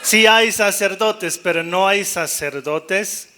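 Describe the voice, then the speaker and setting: High-pitched, bright-voiced male priest preaching. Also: takes it slowly, some chatter behind. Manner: jokey and animated, mimicking doubtful priests, rallying listeners. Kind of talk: live sermon-style talk to a gathered congregation.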